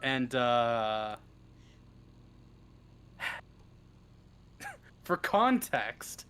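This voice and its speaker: A man's voice: mid-range voice, sing-song, drawn-out words, sharp tone, slight background noise. A man chatting casually as an interview guest, in a joking, self-deprecating way. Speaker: interview guest, script writer.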